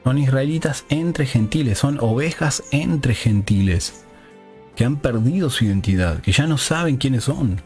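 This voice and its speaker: A man's voice: mid-range voice, lively intonation, measured pace, a little mellow, soft background music. A man preaches a teaching sermon in a didactic way, often checking in with listeners through short rhetorical questions.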